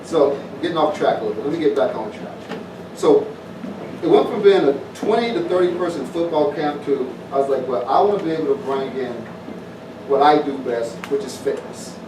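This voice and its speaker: A man's voice: mid-range voice, brisk. A middle-aged man from southwest Virginia, giving a plainspoken, informal speech to an audience at an evening community event. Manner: folksy, plainspoken, repeats phrases. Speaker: former pro football player announcing a festival. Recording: roomy, distant mic, noisy event.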